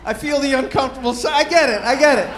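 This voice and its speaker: A middle-aged man doing a stand-up comedy set on a club stage, deadpan and self-deprecating, with rambling asides. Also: very high-pitched, fast talker. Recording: roomy live club with crowd noise. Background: audience murmur and laughter.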